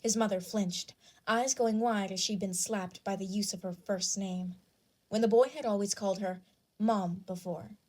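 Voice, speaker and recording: A woman's voice: mid-range voice, fairly even intonation, normal speed, darkish tone. A woman reading scripted fan fiction as the narrator.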